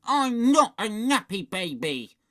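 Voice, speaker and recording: A narrator voicing a timid, tattling little boy with plaintive, drawn-out protests in a children's storybook. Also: medium pitch, big pitch swings, fairly bright tone, voice pushed up. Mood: whiny, plaintive.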